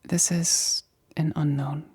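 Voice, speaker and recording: A woman whispering breathily in an ASMR roleplay as a careful scientist, speaking in a deliberate, clinical, instructing manner. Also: sits low, steady tone, warm tone, breathy edge, dry recording. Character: careful, excited female scientist examining an alien specimen.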